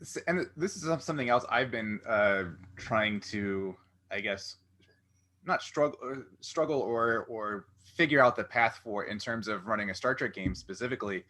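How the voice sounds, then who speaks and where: A man with a bright, sharp-edged voice hosts a relaxed interview chat. His pitch sits a little low, and he feels his way through sentences, pausing to find the words. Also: slight background noise. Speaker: interview host.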